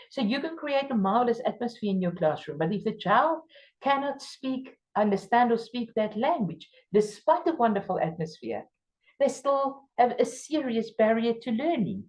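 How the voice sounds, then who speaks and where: A woman from South Africa with an Afrikaans accent, speaking English as her second language while training teachers. She lectures emphatically, stressing key words with sudden jumps in pitch. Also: expressive, unhurried, a little mellow, rough recording. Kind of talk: workshop lecture.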